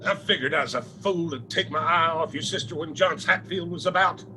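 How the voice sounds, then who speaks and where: A man's voice: fairly high pitch, a little fast, noisy background, lightly acted. A man playing a stern, bitter mountain father with a Southern US accent in a radio drama.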